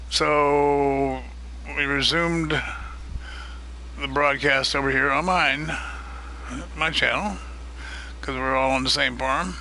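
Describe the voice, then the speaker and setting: A man hosting a live stream of a multiplayer farming game, chatting casually and greeting viewers by name, his voice getting a little hoarse. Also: on the high side, bright, forceful tone, a little hiss or hum, rough recording. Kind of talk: casual live-stream chat while gaming.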